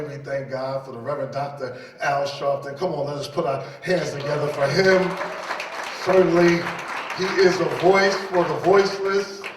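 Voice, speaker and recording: A man's voice: medium pitch, a little hiss or hum. A man from New York City preaching a sermon as pastor, repeating key phrases and speaking straight to the congregation. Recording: echoey hall, distant mic.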